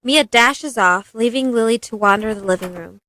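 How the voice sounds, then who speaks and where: A woman's voice: on the high side, normal speed, sharp tone, dry recording. A woman narrating a scripted radio play, reading stage directions in a matter-of-fact way.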